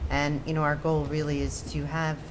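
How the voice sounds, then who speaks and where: Low-pitched American woman, noisy meeting room. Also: little pitch movement, normal speed. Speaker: town supervisor chairing a meeting. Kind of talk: informal public meeting remarks. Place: indoor town board meeting.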